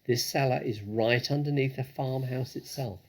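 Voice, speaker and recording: A man voicing a sly, confident grown fox from a storybook, a fatherly leader who talks in a smooth, theatrical, commanding way. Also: medium pitch, fairly even intonation, moderate pace, darkish tone, close to an everyday voice. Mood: pleased, commanding.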